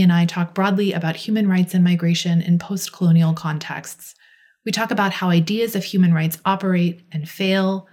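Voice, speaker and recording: A woman hosting a podcast, reading a scripted introduction in a smooth, even way. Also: fairly low pitch. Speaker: podcast host. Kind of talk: scripted narration.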